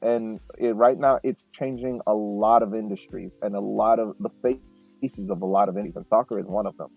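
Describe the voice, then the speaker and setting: Guy co-hosting a podcast, kinda low voice, sounds like he's on a phone line, takes his time with lots of restarts. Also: fairly even intonation, creaky, faint music behind. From Haitian family. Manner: measured, restarts often, builds points slowly. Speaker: podcast co-host. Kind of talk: casual podcast chat.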